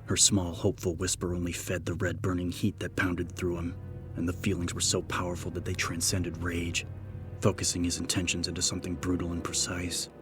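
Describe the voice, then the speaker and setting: Deep low guy reading a horror story, grave and measured, pretty flat, loud music behind. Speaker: narrator. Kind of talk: dramatic scripted horror fiction narration. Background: prominent background music.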